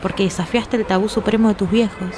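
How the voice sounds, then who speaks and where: A woman's voice: mid-range voice, steady tone, quick pace, over music, natural voice. A woman playing an earnest, admiring questioner in an audio drama. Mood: earnest admiration. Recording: noisy background.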